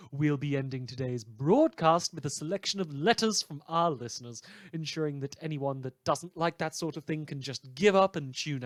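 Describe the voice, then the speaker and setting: Droll, theatrical radio newsreader, played by a man, very animated. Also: high-pitched, no echo. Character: wry, world-weary British radio newsreader in a superhero world. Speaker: podcast performer playing a broadcast host. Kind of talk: scripted fictional radio broadcast.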